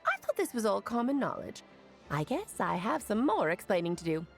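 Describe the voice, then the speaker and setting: A woman's voice: mid-range voice, huge pitch swings, normal speed, creaky, faint music behind. A woman voicing a brash, smug young know-it-all in a video game, lecturing pedantically and flippantly. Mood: exasperated, lecturing.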